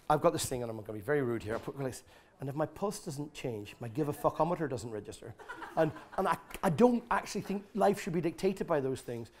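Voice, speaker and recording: A middle-aged man giving an informal talk and taking audience questions in a large exhibition tent, blunt and animated, swearing freely and restarting his sentences often. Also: a little high. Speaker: guest speaker at an expo.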